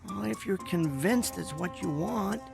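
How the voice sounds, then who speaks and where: A man's voice: high voice, animated, unhurried, gravelly, music in the background, full character voice. A man acting in a radio drama as an earnest, henpecked husband and amateur scientist, loyal and defiant, speaking emphatically. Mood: concerned.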